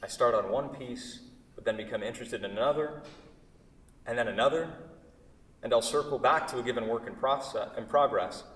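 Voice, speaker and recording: A man's voice: mid-range voice, fairly quick, fairly bright tone, natural voice. A man in a staged play reading, playing a solitary woodworking artist who is polite, guarded and hesitant. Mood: musing. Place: theatre stage. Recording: very echoey hall, distant microphone.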